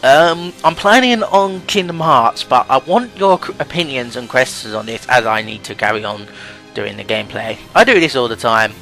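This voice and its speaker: A man's voice: medium pitch, lively intonation, moderate pace, sharp tone, rough recording. A young man giving casual, rambling and hesitant let's play commentary over game music. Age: young adult.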